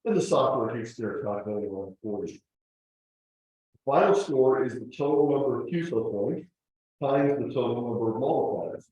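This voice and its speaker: A man's voice: mid-range voice, expressive, normal speed, warm tone, lo-fi sound. A man giving an informal slideshow lecture as a club presenter, explaining rules in a conversational, explanatory way with casual asides. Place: echoey indoor meeting room. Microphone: distant room microphone. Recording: very echoey room, distant mic.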